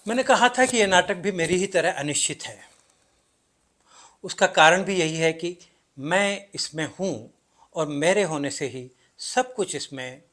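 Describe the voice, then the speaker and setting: A male actor performs an enigmatic everyman narrator of a stage play, a man unsure of who he is. His voice sits a little high for a man. He addresses the audience in a theatrical monologue, with deliberate pauses and emphatic swings. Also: a bit bright. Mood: resigned, philosophical.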